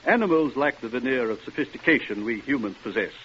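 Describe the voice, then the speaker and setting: An earnest older doctor who studies apparitions, acted by a man in vintage radio drama. He speaks formally and precisely, with lively, varied intonation. The sound is dull, with background noise. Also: mid-range voice, quick pace, close to an everyday voice. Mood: earnest, scholarly. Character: earnest, bearded older male ghost-research doctor. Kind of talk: 1950s radio drama acting. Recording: dull, noisy old radio recording.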